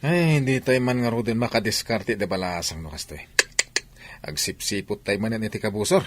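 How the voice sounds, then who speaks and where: An actor with a Filipino accent playing a cocky, smooth-talking young soldier and womanizer in a radio comedy drama. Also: lowish voice, a little fast, lightly acted. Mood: teasing, playful.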